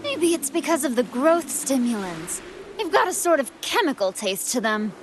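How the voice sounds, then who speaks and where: High, playful android villainess. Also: female voice, sing-song, moderate pace, slight background noise. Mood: playfully menacing. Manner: playful, nonchalant, theatrical. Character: whimsical sweet-toothed female android villain, scheming and airy. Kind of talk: anime game cutscene acting.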